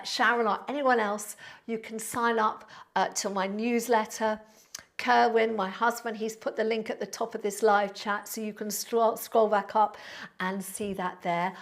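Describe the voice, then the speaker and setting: A woman of sixty, a Pilates instructor chatting on a live stream, thanking viewers by name in a friendly, rambling way with fillers. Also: mid-range voice. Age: 60s. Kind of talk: live-stream chat reading out viewer comments.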